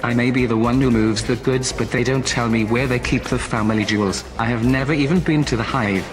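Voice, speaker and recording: A testy underworld fence from a science-fiction drama, acted by a man. He is sarcastic and grumbling, with an ordinary male pitch, and music plays clearly behind him. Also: steady tone, a little fast, natural voice. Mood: defensive. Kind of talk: science-fiction film dialogue.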